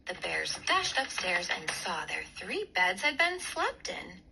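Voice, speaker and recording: A woman storyteller reading a children's bedtime story aloud with expressive, clear phrasing. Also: lowish voice, takes it slowly. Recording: clear background noise.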